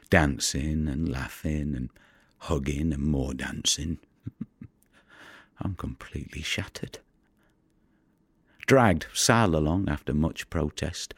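A deep, husky male voice takes its time, a tired but tender fisherman in an audio play. He tells his story as an intimate monologue, unhurried and gravelly, close and confiding. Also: no echo, exaggerated voice. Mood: happy, worn out. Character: weary, tender-hearted working fisherman telling his story.

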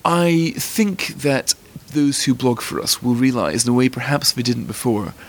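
A man with a posh Scottish accent speaks in measured, articulate sentences as an interview guest. His voice has a gravelly, creaky edge at mid pitch, and he sounds like an editor weighing each point. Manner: articulate, fluent, considered.

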